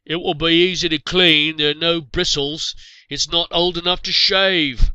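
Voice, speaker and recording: A man voicing boisterous, joking medieval huntsmen in a storybook, loud and drawn-out in their teasing. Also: fairly high pitch, steady tone, clear, resonant tone, dry recording, pitched above the performer's own voice.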